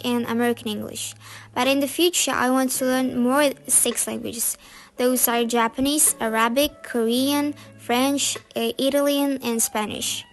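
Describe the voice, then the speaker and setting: A teenage girl from Kazakhstan, a native Kazakh speaker with a Kazakh accent, giving an earnest, recited school presentation in English. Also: high-pitched. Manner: earnest, recited, occasionally mimics others.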